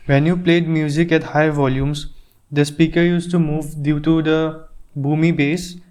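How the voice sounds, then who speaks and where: A man's voice: fairly high pitch, flat delivery, measured pace, darkish tone. A man narrating an unboxing and product review in a plain, step-by-step explaining way, recorded on an earphone microphone.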